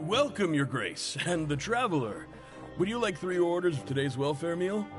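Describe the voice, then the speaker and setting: Brief: chirpy game canteen cook, lively lilting voice, music behind. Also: male voice, a little high, normal speed. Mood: cheerful. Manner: friendly, chuckling service talk. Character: cheerful adult male canteen cook, eager to please. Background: game background music.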